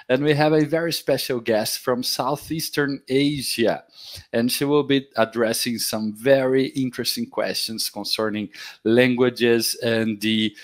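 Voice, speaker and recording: A man hosting an online interview series, welcoming viewers and introducing his guest in an upbeat way. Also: mid-range voice. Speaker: interviewer host.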